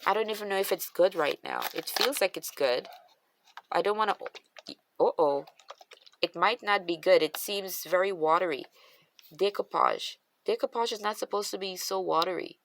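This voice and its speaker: A woman's voice: fairly low pitch, a little slow, a bit bright. A woman with a Guyanese accent, chatting casually as she shows off her craft supplies and thinks aloud with trailing restarts. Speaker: craft channel host.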